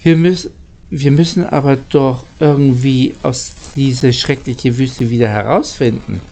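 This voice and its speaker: A man voicing an earnest, easily worried young boy traveller in a storybook, speaking haltingly. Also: medium pitch, fairly even intonation, vocal fry, warm tone.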